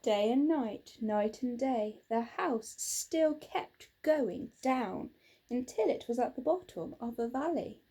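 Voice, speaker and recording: A woman narrating a children's storybook in a measured, expressive way. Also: a little high, breathy edge. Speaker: storybook reader.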